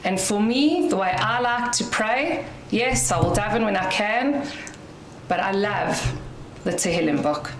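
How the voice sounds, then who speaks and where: A South African woman with a loud voice gives an inspirational talk to an audience as guest speaker, chatty with light laughs. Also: mid-range voice, lively intonation, measured pace, echoey room, slight background noise, rough recording. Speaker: guest speaker at a community dinner.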